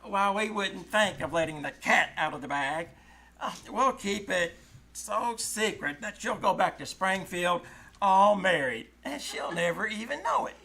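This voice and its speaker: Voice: mid-range voice, expressive, a little slow, sharp tone, heavily acted. A performer playing a sardonic, wisecracking teenage son in old-time radio comedy, sarcastic and mock-solemn. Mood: teasing. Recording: live stage recording with noise.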